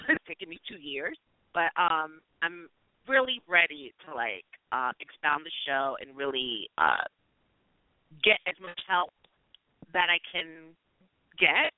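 A man's voice: a little high, no room sound. A man hosting a call-in show, talking in an effusive, slangy way with a lot of swearing. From New York City. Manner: gushing, effusive, slangy and profane. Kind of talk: casual call-in podcast chat. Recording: tinny phone-line audio.

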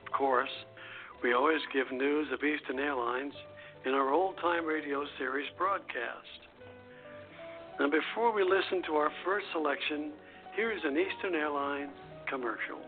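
A man hosting an internet radio show, reading scripted announcements and narration with a halting, stumbling delivery. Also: fairly high pitch. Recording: phone-like narrow band, faint music.

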